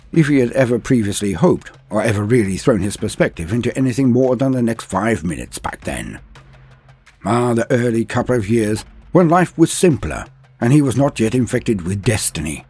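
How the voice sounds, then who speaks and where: A man narrating a comedic fan-fiction tale in a mock-epic style, pausing theatrically before punchline words, with faint music behind. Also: fairly low pitch, darkish tone, slightly rough. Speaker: storyteller.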